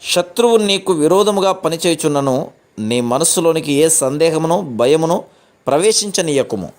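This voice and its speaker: A man with a slightly high voice reads a scripted devotional text. His delivery is formal and measured, in the manner of scripture reading. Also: expressive.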